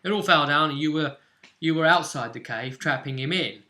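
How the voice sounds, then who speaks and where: Bright, forceful man recapping a tabletop game. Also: on the high side, normal speed. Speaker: game master and channel host. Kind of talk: casual recap of a tabletop role-playing session.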